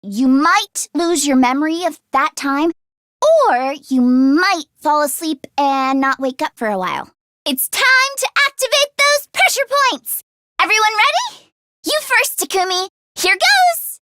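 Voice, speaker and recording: A woman voicing an excitable, earnest teenage girl inventor who gets easily flustered, in an expressive anime-style video game performance. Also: very high-pitched, unhurried, dry recording, clean studio sound, full character voice. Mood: eager, proud. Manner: expressive, swinging from deadpan grumbling to frantic outbursts.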